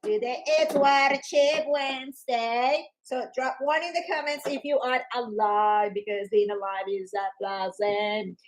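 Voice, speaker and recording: A Colombian woman with an accent, co-hosting a live worship stream in an energetic, excitable way. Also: fairly high pitch, measured pace, few pauses, low-quality recording. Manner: energetic, excitable, with squeals and laughs. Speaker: co-host of an online worship show. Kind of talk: casual live-stream chat. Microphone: live stream on phones and devices.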